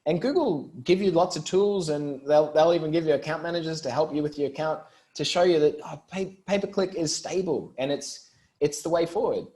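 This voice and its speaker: A man's voice: on the high side, a little fast, warm tone. A man with an Australian accent, speaking as an interview guest and explaining things in an informal, conversational way. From Brisbane, Australia. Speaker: interview guest, marketing entrepreneur.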